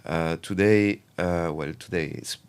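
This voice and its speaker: A man from Morocco with a notably deep, low voice speaks as a guest on an interview podcast. He explains his advisory work in a relaxed, thoughtful way. His talk is full of fillers and restarts as he works through each idea. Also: a little slow, clean tone.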